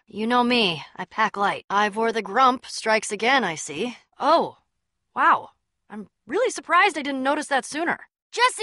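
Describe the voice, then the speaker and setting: A woman's voice: sits high, pushed and bright, no room sound, studio quality, exaggerated voice. An actress playing a bold, sardonic young woman adventurer in a video game, with a wry, punchy, casual delivery. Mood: earnest, urgent.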